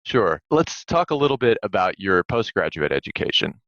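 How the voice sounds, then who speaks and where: A man's voice: on the low side, very animated, moderate pace, a bit bright. A man hosting a podcast interview, asking friendly, affirming questions. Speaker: podcast host, former student. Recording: dull lo-fi sound.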